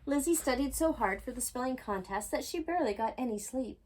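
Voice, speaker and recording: A woman's voice: high voice, lively intonation, fairly quick, darkish tone, a little hiss or hum. A woman reading a children's storybook aloud expressively, with chatty asides to the listener. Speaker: storytime reader.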